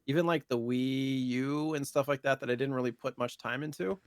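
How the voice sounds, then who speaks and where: A man's voice: medium pitch, a little fast, dry recording, clean studio sound. A man co-hosting a podcast, chatting casually in a loose, rambling conversational way. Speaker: podcast co-host. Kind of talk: casual chat about retro gaming news.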